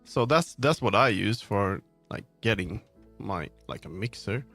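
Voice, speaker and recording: A man's voice: fairly low pitch, animated, a little slow, a bit bright, dry recording. A man livestreaming, chatting casually and informally about tech gear, with frequent swearing. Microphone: streaming microphone on a sound card. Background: music.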